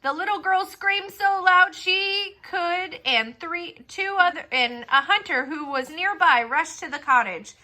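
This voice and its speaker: A woman storyteller narrating a children's fairy tale for a puppet show in a deliberate, expressive way. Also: very high-pitched.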